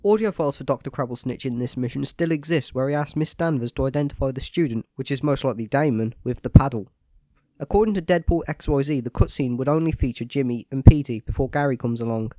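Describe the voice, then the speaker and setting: A man's voice: mid-range voice, fast, mellow, no room sound. Stuffy-nosed man narrating game analysis. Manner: explanatory, matter-of-fact. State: stuffy nose from a cold. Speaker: video game commentator. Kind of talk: scripted voice-over analysis.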